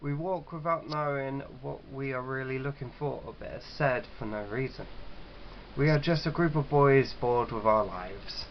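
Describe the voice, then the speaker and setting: Guy doing a casual lets play, stumbly reading and chatting, muffled mic w some background noise. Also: medium pitch. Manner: stumbling, halting when reading aloud. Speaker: gaming channel host. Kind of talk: casual let's-play chat and halting read-aloud of a visual novel.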